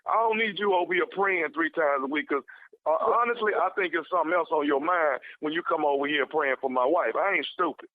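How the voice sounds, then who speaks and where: A man's voice: a little high, brisk, no room sound, lightly acted. A man playing an indignant, suspicious husband confronting a deacon in a prank phone call. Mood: angry, accusing. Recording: tinny phone line.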